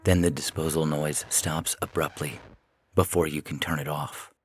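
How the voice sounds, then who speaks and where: A man reading a scripted short story as narrator, in a measured, deliberate way. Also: very deep voice, flat delivery, a little hiss or hum. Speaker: narrator and podcast host.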